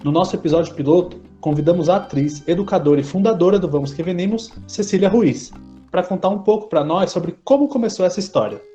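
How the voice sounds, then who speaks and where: A Brazilian man in his thirties co-hosts a podcast with scripted narration. His voice is mellow and soft-edged, with music faintly behind it. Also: high-pitched, fast, dull audio. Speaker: podcast co-host.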